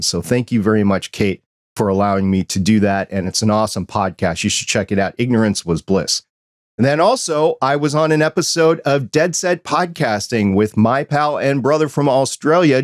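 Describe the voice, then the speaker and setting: A man's voice: on the low side, dry recording, clean studio sound. A man hosting a hobby podcast, reading news and plugs in an upbeat way before welcoming a guest. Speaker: podcast host. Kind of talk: casual podcast intro and interview.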